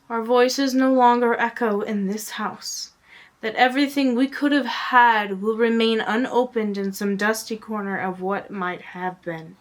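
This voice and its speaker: A woman recites a confessional monologue at a very slow pace, leaving space between phrases. Her voice sits at a medium pitch and often drops to a hush. The delivery is earnest and dramatic, in the style of spoken-word poetry. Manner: earnest, drawn-out phrasing with pauses, often hushed. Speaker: poet.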